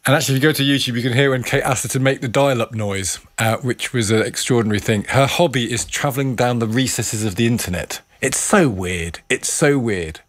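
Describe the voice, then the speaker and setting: A man's voice: medium pitch, fairly quick, few pauses, a bit bright. A man co-presenting a radio show in a studio with casual, opinionated banter.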